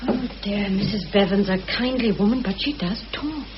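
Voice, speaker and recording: An actress playing a gentle, weary, long-suffering wife in a mid-century radio drama. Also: female voice, mid-range voice, normal speed, close to an everyday voice. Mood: weary, subdued. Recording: muffled, noisy old radio recording.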